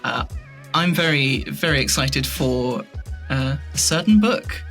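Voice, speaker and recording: A man's voice: mid-range voice, animated, unhurried, a bit bright, faint music behind. A man chatting casually as a podcast guest, speaking in a candid, irreverent way with some swearing. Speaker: podcast guest, voice actor and audio drama creator. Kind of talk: casual interview chat.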